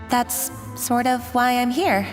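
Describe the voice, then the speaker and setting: A kind young female chef speaks warm-heartedly and with resolve. Her voice is fairly high, set in long reverb with soft music underneath. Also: slow pace. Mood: earnest. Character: kind young woman chef, loyal colleague. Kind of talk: video game voice acting.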